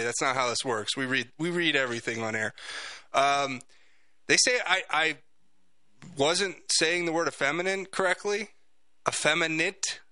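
A man's voice: fairly high pitch, pushed and bright, smooth, no echo. A man hosting a talk radio show, chatting casually with rambling, jokey asides.

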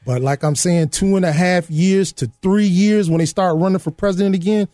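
A man with a fairly high voice talks emphatically on a political panel. He repeats himself and breaks in on others. The recording is dry and close. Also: fairly quick, mellow, no room sound. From Illinois. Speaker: political talk show panelist. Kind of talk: casual political discussion.

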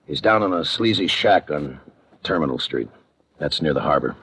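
A low, deadpan voice of a tough homicide lieutenant in a 1950s radio crime drama. He is terse and hard-boiled, sparing with every word. The old recording has plenty of noise on it. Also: normal speed. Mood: cool, terse. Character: tough, laconic homicide police lieutenant, adult man.

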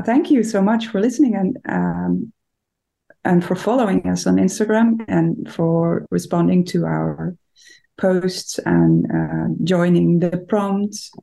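A woman co-hosting a podcast, speaking haltingly with many fillers and restarts. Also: lowish voice, vocal fry, mellow, rough recording. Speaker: podcast co-host. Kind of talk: casual podcast chat.

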